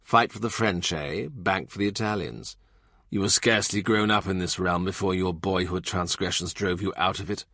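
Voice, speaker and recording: A cold, quietly scornful statesman, voiced by a man reading aloud. He speaks in a soft, low, amused murmur, deep and hushed. The recording is dry and close. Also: fairly even intonation, normal speed, dry recording.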